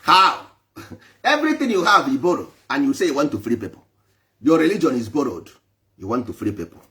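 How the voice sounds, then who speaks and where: A man's voice: mid-range voice, big pitch swings, fairly quick, bright, forceful tone. A man preaching emphatically to a live online audience, repeating his points for force. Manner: emphatic, repetitive, switching between English and Igbo. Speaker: traditional spiritual speaker.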